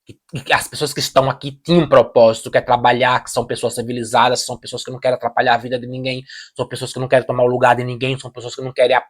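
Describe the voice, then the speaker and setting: A man's voice: medium pitch, level tone, racing. Emphatic Brazilian man giving opinions. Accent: Brazilian Portuguese. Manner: emphatic, repeats phrases, restarts sentences. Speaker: reaction video host. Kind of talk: casual opinion commentary.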